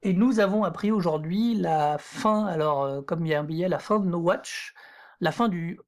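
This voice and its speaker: A man's voice: high voice, normal speed. A man co-hosting a podcast, presenting news in a casual, conversational way. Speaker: podcast co-host.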